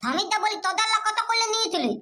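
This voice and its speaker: A performer voicing a childlike cartoon villager who haggles eagerly, with a squeaky lisping voice and a Purulia Bengali accent. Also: animated, racing, sharp tone, full character voice. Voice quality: very high, squeaky, babyish lisped consonants. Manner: pleading, nagging village bargainer. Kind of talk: comedy cartoon dialogue.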